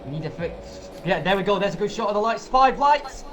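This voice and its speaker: A man's voice: sits high, brisk, noisy background. A man co-commentating a live sim race, joking and exclaiming as the action unfolds. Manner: animated, joking, exclaims loudly.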